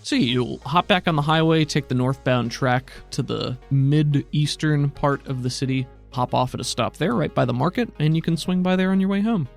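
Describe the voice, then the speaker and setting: Creaky-voiced man running a tabletop game. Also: on the high side, moderate pace, few pauses, faint music behind. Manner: conversational, descriptive. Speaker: game master. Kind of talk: improvised tabletop game narration.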